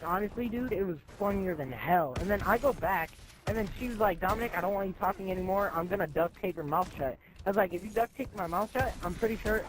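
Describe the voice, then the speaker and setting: Teen boy, high-pitched, slangy storyteller who voices both sides of every exchange, on a noisy gaming mic with gunfire behind. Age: teenager, high school student. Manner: animated, acts out both sides of conversations, slangy. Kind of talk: casual anecdote telling among friends.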